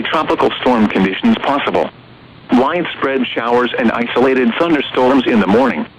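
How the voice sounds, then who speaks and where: A computer-generated male voice reading an automated weather broadcast in a flat, evenly paced way with odd stresses. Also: mid-range voice. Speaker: automated weather radio announcer. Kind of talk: text-to-speech weather broadcast. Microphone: radio receiver recording. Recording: dull radio broadcast with clear noise.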